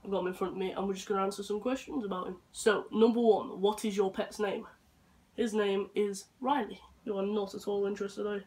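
Hoarse young Englishman chatting casually. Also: high voice, rough recording. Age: young adult. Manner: chatty, rambling, talks softly to his dog. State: hoarse from flu and chest infection. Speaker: vlogger. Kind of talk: casual chat. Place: bedroom, indoors.

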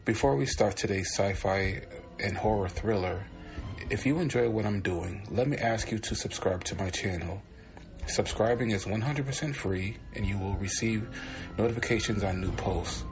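A man's voice: lowish voice, moderate pace. A man hosting a podcast, reading a scripted introduction to a show. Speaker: podcast host. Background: music behind the voice.

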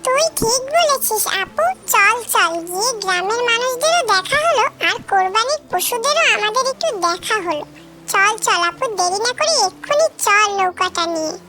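A woman's voice: extremely high pitch, lively intonation, moderate pace. A woman voicing a thoughtful, kind little village boy in a cartoon, speaking in an earnest, eager manner. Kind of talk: cartoon story acting.

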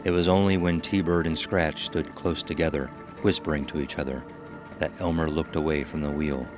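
A man tells a scripted horror tale in an extremely deep voice. Music plays clearly beneath him. His delivery is measured and dramatic, phrase by deliberate phrase. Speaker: narrator of a horror anthology. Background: music under the voice.

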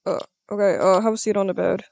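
A casual, halting speaker improvising a part in a video game roleplay. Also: medium pitch, flat delivery, creaky, a little mellow, dry recording. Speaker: player acting as a doctor in a game roleplay.

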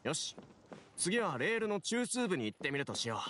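A young man acting a brisk, earnest rail-station keeper in an anime game, with matter-of-fact, gruff casual phrasing. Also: on the high side, sing-song, noisy background. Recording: loud game music behind.

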